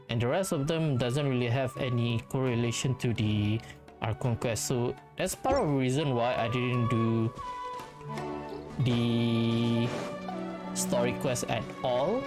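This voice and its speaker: A man doing casual gameplay commentary, rambling and halting as he thinks aloud. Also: medium pitch. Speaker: gaming video host. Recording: game music behind the voice. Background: video game music.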